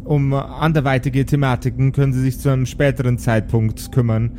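A man's voice: medium pitch, level tone, brisk, mellow, a little hiss or hum, natural voice. A man voicing a formal, smugly polite female handler who speaks in veiled threats, in an improvised audio game. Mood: politely menacing.

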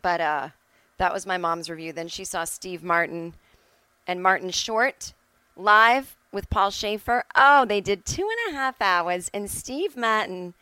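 A woman's voice: mid-range voice, lively intonation, measured pace, pushed and bright, smooth, dry recording. A middle-aged woman hosting a solo podcast, talking casually and bluntly, with emphatic, sweary opinions. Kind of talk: casual opinionated monologue, ranting. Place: at home.

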